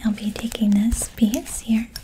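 A young woman whispering softly and gently asking questions as a barber in an ASMR haircut roleplay, recorded close to the mic in a small room. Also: on the high side, little pitch movement, a little slow, noisy background. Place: small decorated indoor room. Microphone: close studio mic in front.

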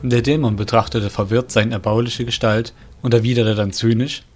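A man with a mid-pitched voice narrates a scripted fantasy tale as a storyteller. Faint music plays behind his audio drama narration. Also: steady tone, moderate pace.

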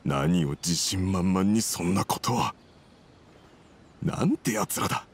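An actor playing a polite, troubled foreign merchant in a Japanese game dub, formal, humble and apologetic. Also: male voice, low-pitched, animated, slow pace, a little hiss or hum. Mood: indignant.

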